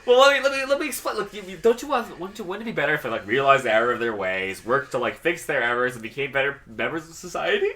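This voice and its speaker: A man's voice: on the high side, quick pace, few pauses, sharp tone. A young man making casual, joking game commentary, teasing and mock-arguing with his co-host. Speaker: Let's Play co-host.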